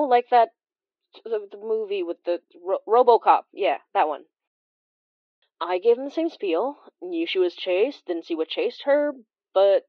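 A woman playing a wry, observant immigrant healer who narrates a horror audio drama in a confiding, measured way with dramatic pauses. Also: medium pitch, no echo, dull audio, close to an everyday voice. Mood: wry, guarded.

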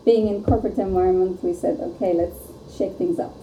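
A woman's voice: on the low side, steady tone, a little fast, warm tone. A woman from Turkey, a startup co-founder, chatting in a friendly way as a podcast guest. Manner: friendly, laughs easily. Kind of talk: casual podcast chat. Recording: distant, roomy with faint noise.

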